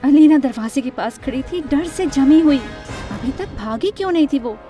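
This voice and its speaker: A woman narrating a scripted first-person animated story in a dramatic storytelling manner. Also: high-pitched, normal speed. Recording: music under the voice.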